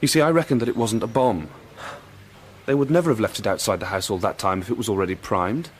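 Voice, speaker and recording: A man's voice: lowish voice, fast talker, a little hiss or hum, lightly acted. A man playing a nervous, earnest young police constable in a British radio crime drama, hesitant and deferential. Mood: anxious, confiding.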